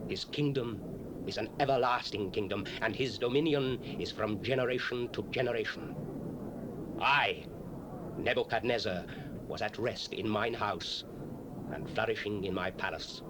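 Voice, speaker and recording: A man's voice: fairly low pitch, normal speed, close to an everyday voice. Man acting an imperious ancient king, noisy cassette. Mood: stately proclamation. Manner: imperious, stately, emphatic delivery. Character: proud, commanding ancient Babylonian king. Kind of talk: dramatized scripture, declamatory acting. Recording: noisy 1970s cassette dramatization.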